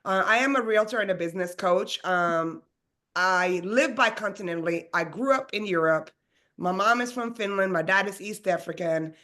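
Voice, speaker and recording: A woman's voice: medium pitch, normal speed, fairly bright tone. A woman from Sweden, a realtor and business coach, hosting a webinar over a video call in a casual, upbeat, chatty way. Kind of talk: presenting a webinar.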